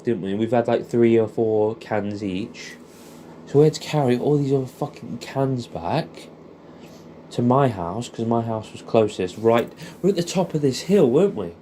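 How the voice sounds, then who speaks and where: A young man with an English accent and a soft, mellow voice reminisces as if to an old mate. He rambles nostalgically, swears freely and keeps ending statements with little questions. There is faint noise behind him. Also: mid-range voice. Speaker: audio creator. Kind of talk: casual intimate reminiscing addressed to an old friend.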